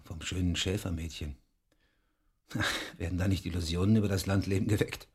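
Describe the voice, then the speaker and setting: A very low-pitched male detective from the countryside , played by an actor, in a radio drama. He speaks as a plain, wry policeman who is used to being the boss. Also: steady tone, moderate pace, noisy background, natural voice. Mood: gently teasing. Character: flirtatious rural police detective, brusque boss, narrator of the tale. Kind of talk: German radio drama acting.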